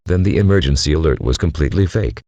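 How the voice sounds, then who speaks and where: A man's voice: a bass voice, quick pace, dark tone, no echo, lo-fi sound. A man playing a curious, puzzled citizen on a scripted call to police, with a questioning manner. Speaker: caller. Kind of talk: scripted phone call drama.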